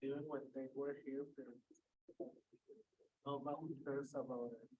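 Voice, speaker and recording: Monotone participant in a class discussion. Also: mid-range voice, slow pace, narrow, tinny audio, a little hiss or hum. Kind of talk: brief class discussion remarks.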